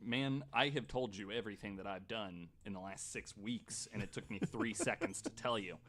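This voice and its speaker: Low-voiced, rambling man hosting a podcast. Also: normal speed, a bit bright. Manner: hesitant, stammering repeats. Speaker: podcast host. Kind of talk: casual rambling chat.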